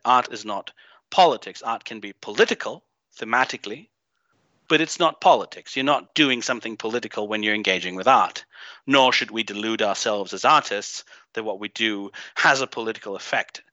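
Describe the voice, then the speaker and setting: A man from Greece with an unusual mixed accent, talking as an interview guest in a halting, emphatic, opinionated way. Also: fairly low pitch, bright, forceful tone, no room sound. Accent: unusual mixed foreign-tinged. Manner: halting, emphatic, thinking aloud. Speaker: podcast guest, game writer and designer. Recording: dull, band-limited online audio.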